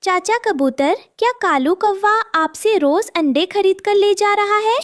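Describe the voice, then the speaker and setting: A woman's voice: very high-pitched, measured pace, no echo, voice pushed up. A woman voicing a sensible, helpful, determined female sparrow in a cartoon, assured and inquiring. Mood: suspicious. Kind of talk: Hindi moral-story cartoon dialogue.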